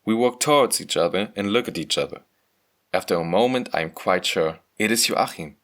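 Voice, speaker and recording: A man reading a scripted first-person story as a motion comic narrator, measured and earnest. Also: lowish voice, animated, a bit bright.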